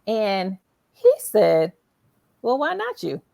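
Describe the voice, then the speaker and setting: Animated, encouraging woman host with creaky voice. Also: medium pitch, takes it slowly, no echo. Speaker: show host. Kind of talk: lively conversational interview.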